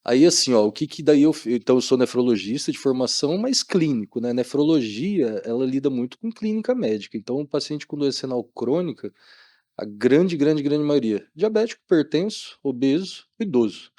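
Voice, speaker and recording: Mellow Brazilian man, casual podcast guest. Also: medium pitch, expressive, brisk, no room sound, studio quality. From Maringá, Brazil. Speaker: guest, doctor. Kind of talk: casual podcast interview talk.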